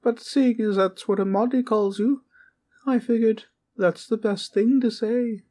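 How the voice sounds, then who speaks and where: A woman's voice: a little high, fairly even intonation, unhurried, warm tone, natural voice. A woman voicing a small, kindly teddy bear guardian in a comfort audio roleplay, sweet and polite with courtly, old-fashioned wording and a soothing bedtime manner. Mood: warm, playful.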